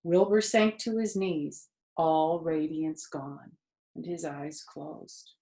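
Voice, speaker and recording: A woman's voice: deep voice, steady tone, slow, dark tone. A woman reading a children's story aloud expressively, with conversational asides to her listeners. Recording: roomy echo.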